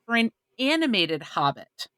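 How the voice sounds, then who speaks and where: A woman with a fairly high voice and very animated intonation co-hosts a casual discussion. She is wry and emphatic, recorded dry. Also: moderate pace, clear, resonant tone, dry recording. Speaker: podcast co-host. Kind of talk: casual panel chat.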